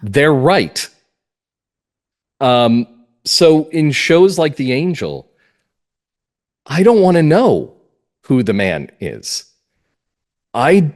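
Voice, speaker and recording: A man speaking as a writer being interviewed, reflective and earnest, setting out his views in deliberate, emphatic phrases. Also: medium pitch, darkish tone. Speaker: playwright and audio drama writer, interview guest.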